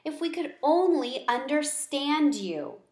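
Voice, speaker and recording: A kindly storybook schoolteacher, read by a woman. She speaks helpfully and conversationally, slightly raised in pitch, in an echoey room. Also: very animated, slow pace, a little mellow, heavily acted. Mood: teasing. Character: kindly female schoolteacher advising and chatting. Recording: roomy home recording.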